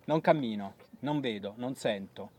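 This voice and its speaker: Casting: stubborn old woman near death, played by a man, measured stage storyteller recounting her life. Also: medium pitch, a little mellow, a little hiss or hum, voice pushed down, close to an everyday voice. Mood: resigned, quiet. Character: dying old deaf woman, stubborn, recalling her reader. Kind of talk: theatrical monologue.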